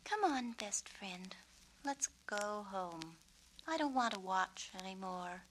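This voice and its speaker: A woman voicing an earnest, gentle young girl in a storybook, girlish and a little halting. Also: medium pitch, animated, a little hiss or hum, low-quality recording. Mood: urgent. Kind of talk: storybook character reading.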